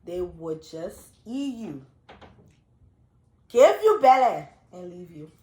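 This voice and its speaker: Liberian-born woman co-hosting, swoopy lively voice, tells stories with lots of laughing, echoey room. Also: on the low side, takes it slowly. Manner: expressive storyteller, breaks into laughter. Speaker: co-host. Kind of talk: casual chat.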